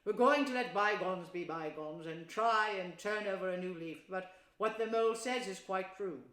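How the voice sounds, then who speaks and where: A woman's voice: medium pitch, normal speed, fairly bright tone, close to an everyday voice. A woman voicing a stern, wise old male badger with a thin, dry, severe voice. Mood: kindly firm. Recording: roomy echo.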